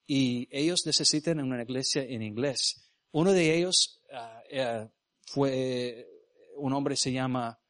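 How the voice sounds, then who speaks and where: A man born in Venezuela who grew up speaking English, a missionary preaching in Spanish with an American English accent, often halting to search for words and asking the congregation questions. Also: mid-range voice, no room sound, studio quality. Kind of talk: sermon with personal stories. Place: church.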